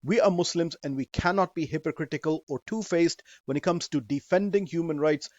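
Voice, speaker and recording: A man in his forties with a Pakistani accent, presenting a scripted talk in an earnest, measured way. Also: fairly high pitch, a little mellow, no echo. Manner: earnest, measured, with Arabic phrases. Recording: dry, slightly dull recording.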